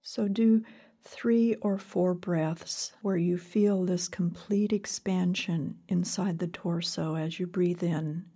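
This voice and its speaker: A woman leading a guided meditation in a calm, gentle, unhurried way with long pauses, her voice soft and breathy. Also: lowish voice. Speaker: meditation teacher. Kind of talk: guided body scan meditation.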